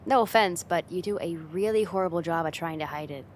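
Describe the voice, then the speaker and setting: A woman's voice: on the low side, a little fast, slight background noise, close to an everyday voice. A woman playing a confident, teasing young woman, a friend's older sister, in a casual ASMR roleplay spoken straight to the listener. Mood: soft, affectionate. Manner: playful, teasing, casual, giggly, lightly exasperated.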